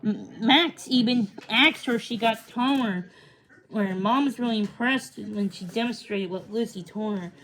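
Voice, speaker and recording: Higher-pitched woman reading a children's story aloud. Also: a little slow, fairly bright tone, slight background noise, rough recording. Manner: stumbles over words and rereads them. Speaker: storybook reader.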